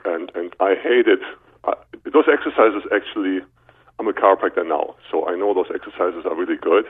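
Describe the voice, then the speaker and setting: A man's voice: low voice, fairly quick, husky. A man in his fifties with a German accent, telling his own story casually as an interview guest over a phone line. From Berlin, Germany. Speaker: guest, chiropractor. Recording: narrow muffled telephone line.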